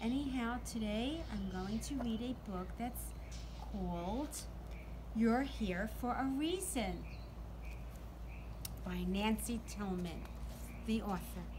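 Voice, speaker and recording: A middle-aged woman doing an expressive, deliberate read-aloud storytime for young children. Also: a little high. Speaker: teacher reading to children. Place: outdoors in a sunny backyard. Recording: outdoor ambient noise.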